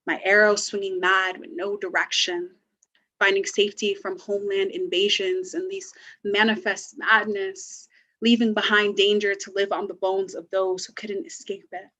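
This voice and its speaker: A teenage girl reciting her own spoken word poem slowly and deliberately. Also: mid-range voice, fairly bright tone, poor audio. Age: teens. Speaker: youth poet. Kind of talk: spoken word poetry reading.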